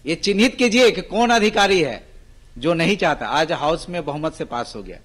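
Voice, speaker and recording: Slightly high-voiced, reflective man. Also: lively intonation, normal speed, fairly bright tone. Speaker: panel guest. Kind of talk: opinionated political discussion.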